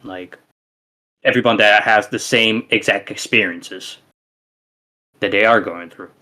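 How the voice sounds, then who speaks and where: Romanian-accented male musician guest, low-pitched, emphatic and meandering in casual chat. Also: a little slow, bright, forceful tone. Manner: rambling, emphatic, many restarts. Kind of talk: casual podcast interview.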